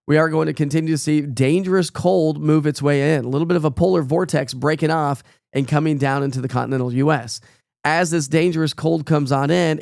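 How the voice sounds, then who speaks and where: Gravelly-voiced weatherman fighting a cold. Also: a little high, darkish tone, no room sound, clean studio sound. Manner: chatty, folksy, rambling with fillers. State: losing his voice, has a cold. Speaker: meteorologist. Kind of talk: casual live weather forecast.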